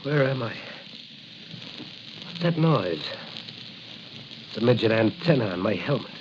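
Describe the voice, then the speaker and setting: Noisy vintage radio, masked crime-fighter, mid pitch, confident, resolute, declamatory, 1940s adventure serial. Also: male voice, sing-song, a little slow. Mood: dazed, then determined. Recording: noisy, muffled 1940 radio recording.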